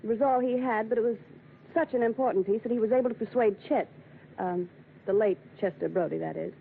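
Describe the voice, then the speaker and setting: An actress playing a scheming, mercenary wife with a cool, arch manner in a 1940s radio drama. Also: female voice, a little high, brisk, gravelly. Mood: cool, brazen. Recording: tinny, faintly noisy old radio broadcast.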